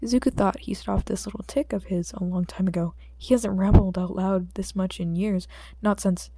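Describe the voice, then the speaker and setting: A woman's voice: mid-range voice, steady tone, normal speed, dark tone, husky, dry recording. A woman reading scripted fiction as an audiobook narrator.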